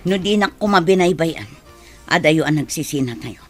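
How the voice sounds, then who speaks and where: A woman playing an anguished, remorseful mother in a radio drama, speaking in a husky, plaintive, earnest voice. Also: deep voice, expressive, moderate pace, faint music behind. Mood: sorrowful, regretful. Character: anguished, remorseful mother longing for her children. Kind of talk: Ilocano radio drama acting.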